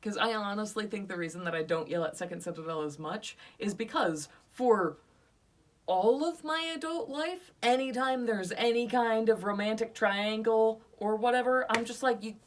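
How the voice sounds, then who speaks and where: A woman's voice: mid-range voice, recorded from a distance. A young woman co-hosting a podcast, chatting playfully and teasing her co-host. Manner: playful, teasing, quick to laugh. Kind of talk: casual podcast review chat.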